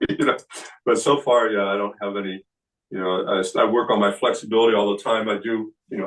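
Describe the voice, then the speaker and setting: A man in his sixties, a kung fu teacher, explaining health practices in a relaxed seminar talk with many fillers and restarts. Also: lowish voice, distant mic, rough recording. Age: 60s. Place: indoor studio room.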